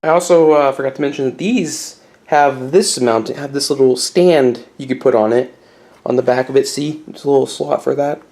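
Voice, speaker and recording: A man's voice: medium pitch, steady tone, normal speed, a little mellow. A man giving a casual, unscripted show-and-tell as a hobbyist video host, rambling a bit and correcting himself with mild enthusiasm.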